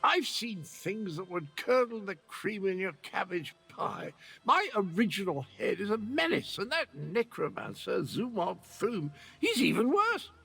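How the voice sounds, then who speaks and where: An eccentric undead chatterbox from a fantasy video game. The voice sits high and swings widely, with a husky rasp. The delivery is theatrical and wry, rambling with self-mockery over music. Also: male voice, sing-song, measured pace, sharp tone, exaggerated voice. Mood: uneasy, dramatic. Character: chatty eccentric undead former soul shriver, self-mocking. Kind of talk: video game character acting.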